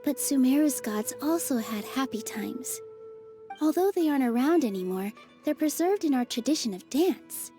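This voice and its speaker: A woman voicing a graceful young dancer in a game, telling an old legend gently. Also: high-pitched, animated, normal speed, soft background music. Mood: storytelling. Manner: gentle storytelling. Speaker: festival dancer. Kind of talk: game cutscene voice acting.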